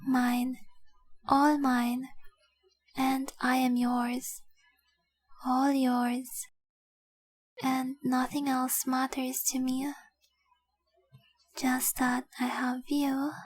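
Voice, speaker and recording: A woman voicing a tender, possessive young dragon girl in love, speaking softly and breathily in an intimate roleplay audio drama. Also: high-pitched, flat delivery, very slow, long pauses, dry recording, full character voice. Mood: devoted, heartfelt. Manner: soft, breathy, lingering, adoring delivery.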